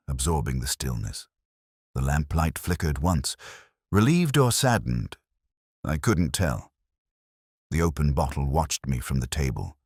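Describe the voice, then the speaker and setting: A man narrating a first-person detective story in a solemn, measured, literary manner with a husky voice. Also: very deep voice, no room sound, studio quality.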